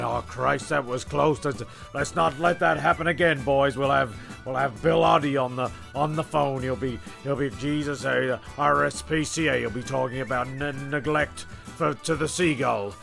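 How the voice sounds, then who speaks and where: Rambling, gravelly male comedy narrator. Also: medium pitch, normal speed, few pauses, fairly bright tone. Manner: rambling, stammering repeats, sweary. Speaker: narrator and host. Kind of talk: loose comic narration of an audio sketch show. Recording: homemade audio with faint music behind.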